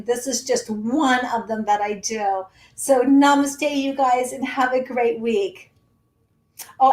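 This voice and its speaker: A high-pitched woman hosting a livestream in an echoey room. She talks in a loose, chatty way with plenty of ums and answers viewer comments. Her voice is wearing thin after a long broadcast. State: losing her voice. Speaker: astrology livestream host. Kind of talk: casual livestream talk.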